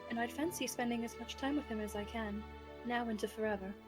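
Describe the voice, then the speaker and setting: A woman's voice: on the high side, a little fast, over music, lightly acted. A woman voicing a tender, genteel young bride with formal, affectionate speech in an anime fan roleplay. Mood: gently firm.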